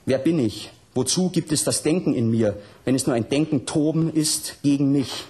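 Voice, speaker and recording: A man's voice: mid-range voice, normal speed, mellow, close to an everyday voice. An actor playing a tormented, provocative punk author with a southern German accent, reading his text aloud in a vehement radio drama monologue. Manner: vehement, colloquial, declamatory.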